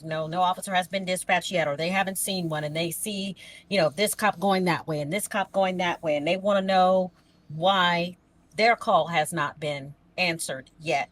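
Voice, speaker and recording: Lower-pitched woman, folksy and emphatic. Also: fairly bright tone. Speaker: emergency dispatcher, podcast co-host. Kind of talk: casual podcast conversation.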